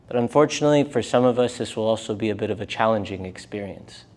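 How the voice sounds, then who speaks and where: A man teaching a live yoga and meditation class, speaking gently in short, unhurried phrases with pauses between them. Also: on the low side. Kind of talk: guided meditation class instruction.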